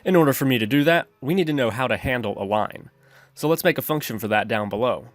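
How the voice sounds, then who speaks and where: Male tutorial narrator, mid-pitched, methodical step-by-step delivery, music underneath. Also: fast, no room sound. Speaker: programming tutorial host. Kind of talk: instructional screencast narration. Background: background music.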